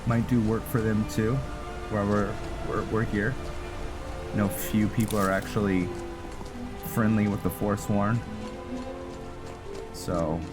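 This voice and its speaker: Man, deep voice, slow, halting commentary, gameplay music loud. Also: little pitch movement. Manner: halting, many fillers and restarts. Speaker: roleplay gaming host. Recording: voice over loud game music.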